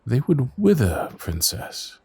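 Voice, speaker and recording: Man acting a loyal, animated fantasy knight. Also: mid-range voice, slow, vocal fry, slightly rough, heavily acted. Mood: stern, pleading. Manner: old-fashioned courtly phrasing, teasing and earnest. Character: young loyal royal knight, teasing, exasperated, protective. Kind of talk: ASMR roleplay acting, fantasy audio drama.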